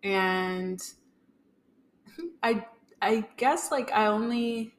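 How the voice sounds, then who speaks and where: A woman's voice: medium pitch, fairly even intonation, drawn-out words. A young woman, speaking casually and tentatively as a podcast guest, thinking as she goes. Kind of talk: casual personal conversation.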